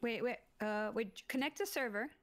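A woman's voice: a little high, moderate pace. A woman playing in a tabletop game, joking playfully while chatting casually. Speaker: player. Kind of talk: casual chat.

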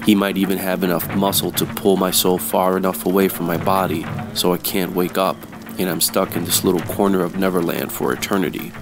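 A hard-boiled detective played by a man narrates in a deep voice over music. He is deadpan, slangy and unfazed, in the manner of an old-time radio noir serial.

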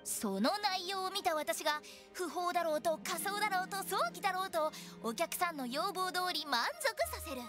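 A woman voicing a playful, pushy teenage girl funeral director in a Japanese anime game, with animated sales patter. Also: extremely high pitch, normal speed, few pauses. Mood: confident, explaining. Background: game music.

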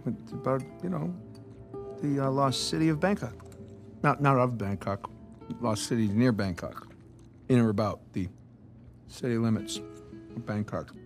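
An actor playing a wry, evasive, good-natured adventurer in his thirties in a video game, talking casually and haltingly with dry jokes. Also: mid-range voice, natural voice. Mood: flustered. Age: 30s. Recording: music behind the voice.

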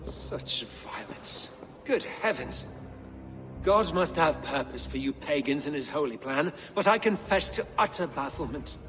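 A man's voice: a little high, expressive, a little slow, fairly bright tone. A man playing a pompous, pious middle-aged medieval clergyman in a video game, ornate and disdainful. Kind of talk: video game cutscene acting. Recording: echoing hall with faint music.

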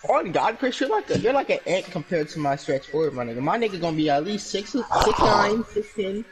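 A young man in casual voice chat during a basketball video game, loud, joking and teasing his friends. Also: high voice, sing-song, a little fast, heavy background noise. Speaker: gamer in voice chat. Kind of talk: casual trash-talking party chat.

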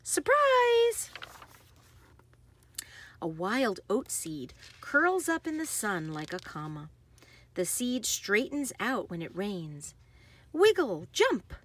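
A woman reads a children's picture book aloud expressively, with deliberate phrasing and emphasis on action words. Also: on the high side, wildly swooping pitch, breathy edge. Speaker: read-aloud host.

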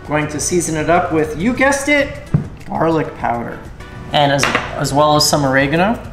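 A man's voice: a little high, lively intonation, moderate pace, roomy sound, music in the background. A young man hosting his own fishing and cooking video, explaining each step of rigging and cooking in a casual, instructive way. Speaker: fishing channel host. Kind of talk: casual how-to narration. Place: home kitchen and boat deck.

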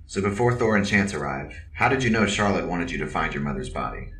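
An actor playing a stoic, deadly veteran military officer in an audio drama, terse, literal and deadpan. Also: sits low, brisk, roomy sound, recorded from a distance.

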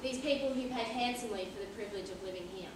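A woman's voice: a little high, very fast, lightly acted. A woman acting a pompous, condescending male police inspector who interrogates people in an amateur stage play. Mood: probing, accusing. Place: large theatre stage, indoors. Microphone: far audience camera. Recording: distant echoey theatre stage, noisy.

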